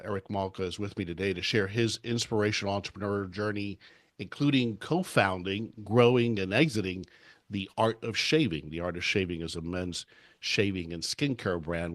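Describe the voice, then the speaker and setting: Upbeat lower-voiced male podcast host. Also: dry recording, clean studio sound. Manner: friendly, upbeat, talkative. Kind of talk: interview podcast.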